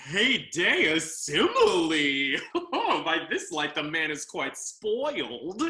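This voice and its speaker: An actor playing a blunt, foolish gentleman traveler in a Restoration comedy, mocking heartily with drawn-out exclamations, in a roomy, echoing recording. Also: sits high, wildly swooping pitch, vocal fry, heavily acted. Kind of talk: Restoration comedy stage acting.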